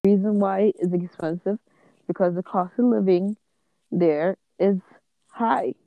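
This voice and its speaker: Slow, mellow-voiced woman co-host. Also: medium pitch, fairly even intonation, vocal fry, no room sound, rough recording. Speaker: podcast co-host. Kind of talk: casual podcast chat.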